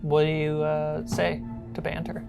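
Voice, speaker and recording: A woman's voice: deep voice, monotone, unhurried, creaky, over music. A woman acting as game master, narrating a tabletop roleplaying game in an improvised, conversational way.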